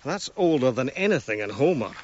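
An actor playing a curious, reserved man visiting a dig in a radio drama, measured and questioning. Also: medium pitch, very animated, darkish tone, lightly acted. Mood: intrigued. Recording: muffled, faint outdoor ambience noise.

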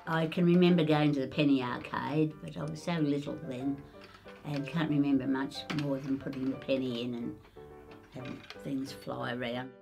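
Very deep-voiced elderly Australian woman. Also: fairly even intonation, moderate pace, gravelly, darkish tone, soft background music. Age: 80s. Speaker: local resident interviewee. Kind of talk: oral history reminiscence.

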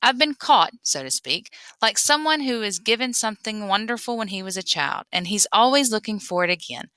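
Bright-voiced woman reading aloud. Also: mid-range voice, expressive, fairly quick, no echo. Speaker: reader. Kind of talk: expressive read-aloud of a memoir.

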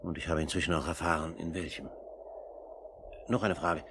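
An actor playing an earnest, discreet older gentleman in a 1950s German radio play, speaking formally and with measured courtesy. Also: low-pitched, fairly even intonation. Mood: probing. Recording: old radio recording, faint noise.

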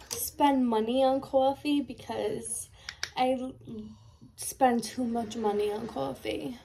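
A woman's voice: fairly high pitch, background noise. A young woman, stuffy and sniffly from a cold, chatting casually while doing her makeup at home. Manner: chatty, candid, filler-heavy. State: congested and sniffly. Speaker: lash tech and beauty vlogger. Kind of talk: casual get-ready-with-me chat. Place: bedroom, indoors. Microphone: camera in front, close.

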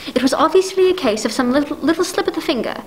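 Woman acting high-pitched, flustered, demanding housewife. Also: animated, fast talker, a little hiss or hum. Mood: indignant, frantic. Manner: flustered, imperious, stammering insistence. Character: nervous, demanding, indignant wealthy housewife alone at night. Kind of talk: 1940s radio play acting. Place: dark stage, masked, speaking into prop telephone.

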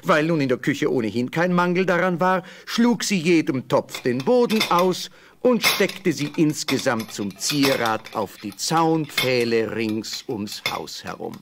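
A man's voice: on the high side, animated, unhurried, vocal fry, background noise. A man narrating a scripted fairy tale as a storyteller.

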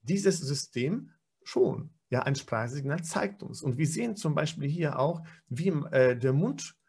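A man's voice: on the high side, animated, measured pace, vocal fry, darkish tone, slightly rough. A man in his forties giving an online pronunciation lecture over a webcam, explaining with frequent fillers and self-corrections. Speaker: pronunciation trainer. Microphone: webcam video call.

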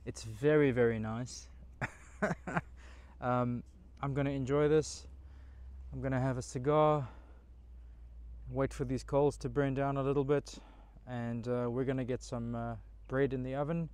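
Excited man camping in a forest. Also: medium pitch, measured pace, smooth. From Australia. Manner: enthusiastic, chatty, talks straight to camera. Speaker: camping vlogger. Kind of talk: casual solo camping vlog. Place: outdoors in a pine forest campsite. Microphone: camera mic, handheld selfie and tripod framing.

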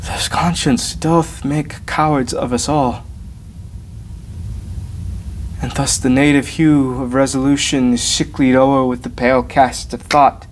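A man performing a Shakespearean soliloquy as a brooding, anguished young prince, hushed and deliberate, with weighty pauses. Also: medium pitch, flat delivery, lightly acted. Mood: grave, pensive. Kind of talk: Shakespearean stage monologue. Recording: faint background room noise.

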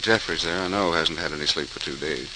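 An actor playing a grave, authoritative senior hospital doctor in an old-time radio drama, formal and commanding. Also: male voice, extremely low pitch, a little fast, pushed and bright, exaggerated voice, low-quality recording. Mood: grave, brisk. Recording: old radio recording, faint hiss.

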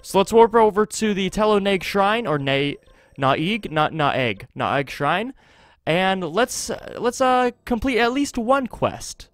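A man's voice: high voice, very animated, takes it slowly, dry recording, soft background music. A young man hosting a casual game playthrough with wry, joking, exaggerated exasperation, speaking into a close microphone. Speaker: gaming video host. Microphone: close USB desktop microphone.

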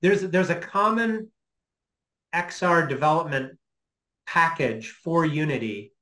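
A man in his fifties explaining informally as a lecturer on a video call from a small home office, halting and thinking aloud. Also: fairly high pitch, pushed and bright, low-quality recording. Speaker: guest lecturer. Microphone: computer mic on a video call.

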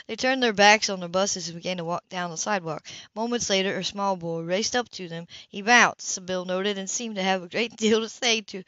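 A woman's voice: medium pitch, fairly bright tone, no echo. A woman reading a novel aloud as an amateur narrator, now and then stumbling and correcting a misread word. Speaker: amateur audiobook reader. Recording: dull, lo-fi home recording.

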